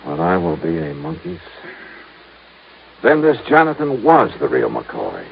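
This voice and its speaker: Casting: wry, insistent American investigator, 1950s radio style, low-pitched and clipped, faint hiss on the recording. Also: male voice, huge pitch swings, unhurried, gravelly, heavily acted. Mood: puzzled, realizing. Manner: clipped, insistent, punchy questioning. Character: brisk, dogged, wry male insurance investigator. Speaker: lead actor in a radio detective series. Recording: muffled, noisy vintage radio recording.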